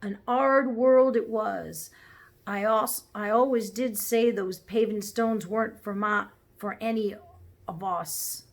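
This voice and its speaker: A woman's voice: mid-range voice, fairly even intonation, takes it slowly. A woman voicing a good-natured, earnest London cabby with a Cockney accent in a storybook reading. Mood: wistful.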